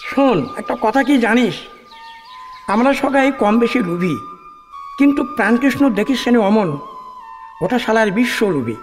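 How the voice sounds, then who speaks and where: A man's voice: extremely high pitch, lively intonation, normal speed, long pauses, in a large hall, faint music behind. A man with a Kamtapuri accent playing a sly, persuasive middle-aged village matchmaker in a rural radio play.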